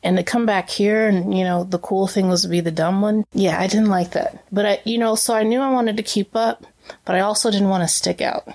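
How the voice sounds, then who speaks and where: A woman's voice: mid-range voice, steady tone, a little fast, darkish tone. Chatty woman reflecting in an interview. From Brazil, raised as a missionary kid. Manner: chatty, many fillers and restarts. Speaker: interview guest. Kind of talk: casual reflective conversation.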